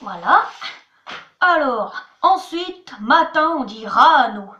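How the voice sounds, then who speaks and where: A woman's voice: high voice, very animated, slow, pushed and bright, highs cut off. A woman giving a homemade language lesson, chatty, spelling words out letter by letter and repeating "voilà" and "attention". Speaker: channel host teaching words. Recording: echoey room.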